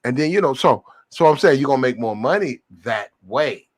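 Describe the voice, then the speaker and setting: A man's voice: mid-range voice, animated, fairly quick, no echo. Slangy man explaining things on a panel. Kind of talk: casual online panel chat. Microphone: headphones with mic.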